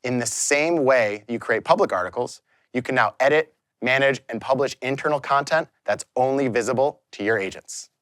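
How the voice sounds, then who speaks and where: Man, scripted demo narration, product presenter, mid-pitched voice, dry close sound. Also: normal speed, a bit bright, no echo.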